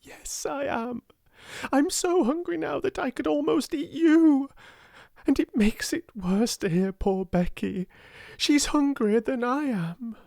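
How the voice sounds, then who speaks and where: A woman's voice: sits low, animated, normal speed, warm tone, no echo, studio quality, pitched above the performer's own voice. A woman voicing a proud, half-starved young girl who speaks with fierce passion. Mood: passionate. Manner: passionate, intense.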